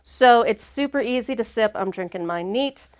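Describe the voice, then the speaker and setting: A woman's voice: fairly high pitch, lively intonation, normal speed, darkish tone. A woman hosting a casual livestream chat, sounding a bit sniffly and congested, talking in a chatty, upbeat way. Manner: chatty and upbeat, laughs easily. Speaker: host.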